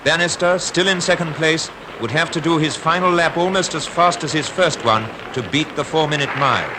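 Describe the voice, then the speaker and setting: Dramatic 1950s British newsreel commentator, noisy soundtrack. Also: male voice, on the high side, moderate pace. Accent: British Received Pronunciation. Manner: dramatic, formal announcer delivery.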